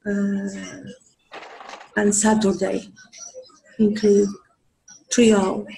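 A woman's voice: medium pitch, monotone, very slow, vocal fry, mellow, poor audio. Hesitant Spanish-speaking woman learning English. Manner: hesitant, full of fillers, simple broken English. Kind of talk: halting conversation practice on a video call. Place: home room. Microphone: video call with earphones.

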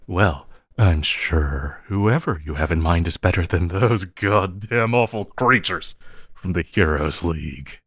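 A man's voice: low-pitched, fairly bright tone, slightly rough, no room sound, clean studio sound, heavily acted. A man playing an obsessive, lovestruck villain in an intimate audio roleplay, theatrical and giggly, playfully menacing and pleading. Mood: amused, delighted. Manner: theatrical, slow drawling, frequent giggling.

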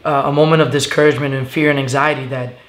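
A young man from Venezuela, a guest telling his story in a casual interview, earnest and halting with many fillers, sitting on a living room couch. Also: medium pitch, flat delivery. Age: young adult. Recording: echoey room with faint hiss.

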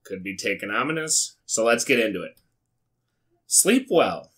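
An American man hosting a comedic read-aloud, dropping wry, sweary, deadpan asides in a casual way. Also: fairly low pitch, measured pace, slightly rough. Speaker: YouTube host. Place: small indoor studio with foam panels.

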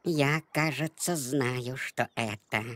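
An actor voicing a big, ponderous cartoon elephant with a slow, deliberate manner. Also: mid-range voice, a bit bright, no room sound. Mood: thoughtful. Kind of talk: children's cartoon dialogue.

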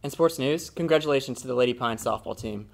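A young man anchoring a student morning news show in a small studio, adding deadpan jokes between items. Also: mid-range voice, quick pace, gravelly. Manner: joking, deadpan asides. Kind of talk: school morning news broadcast. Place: indoor studio before green screen.

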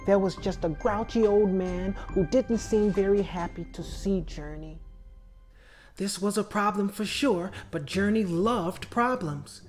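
Expressive man narrating a children's storybook. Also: high-pitched. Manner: expressive, deliberate phrasing with drawn-out words. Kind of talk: dramatic children's read-aloud. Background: music.

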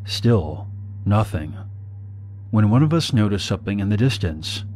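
A man in his forties from Somalia, narrating his own past in a somber, measured way. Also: fairly low pitch, animated, a little mellow, a little hiss or hum. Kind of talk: scripted first-person narration.

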